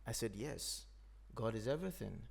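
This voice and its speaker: A man from Nigeria, an Igbo speaker, talking in a thoughtful, unhurried way as a podcast host. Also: fairly low pitch, lively intonation, creaky, breathy edge. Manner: thoughtful, unhurried, frequent "you know". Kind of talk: reflective conversation.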